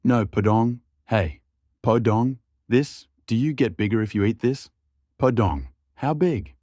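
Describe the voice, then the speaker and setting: A man's voice: medium pitch, lively intonation, a little slow, warm tone, dry recording, clean studio sound. A man playing a young male summoner who coaxes his pet with curiosity in an audiobook. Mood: curious. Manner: coaxing, talking to a pet.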